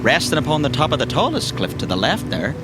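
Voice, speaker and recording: A man's voice: on the high side, huge pitch swings, fast talker, heavy background noise. A man with an Irish accent narrating a tabletop roleplaying game as game master, descriptive, with colloquial asides. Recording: music behind the voice.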